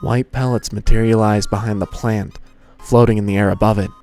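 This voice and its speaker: A man's voice: lowish voice, fairly even intonation, fairly quick, darkish tone. A man reading scripted fan fiction as the narrator of a dramatized story. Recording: music behind the voice.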